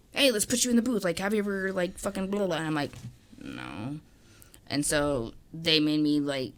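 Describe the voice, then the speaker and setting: A woman's voice: deep voice, fairly bright tone. A young woman co-hosting a podcast, rambling in casual chat with lots of fillers and swearing. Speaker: podcast co-host.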